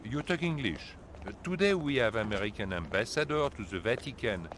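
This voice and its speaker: A man playing a chatty, gossipy French opera house worker in a video game, with a French accent and halting English. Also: mid-range voice, animated, fairly bright tone, slight background noise, close to an everyday voice. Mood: chatty, insinuating. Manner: halting foreigner's English, insinuating. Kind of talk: scripted video game dialogue.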